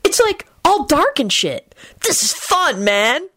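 A giddy, laid-back stoner dude, played by a woman reader in a storybook. He drawls his slang in a high hiss. His pitch wanders widely. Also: sing-song, slow pace, fairly bright tone, full character voice. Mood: giddy delight. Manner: hissed, drawling slang delivery.

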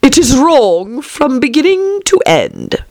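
A woman's voice: sits high, huge pitch swings, slow, gravelly, voice pushed up, exaggerated voice. A woman voicing a curt, irritable storybook caterpillar in a languid, stern drawl. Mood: stern, contemptuous. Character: slow, curt, irritable caterpillar smoking a hookah.

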